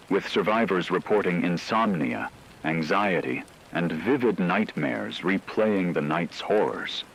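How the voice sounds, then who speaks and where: A man playing a grave radio newscaster, reading scripted emergency narration in a solemn, measured way. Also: low voice, fairly bright tone, a little hiss or hum, full character voice, rough recording. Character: grave male radio newscaster reporting an apocalypse's aftermath.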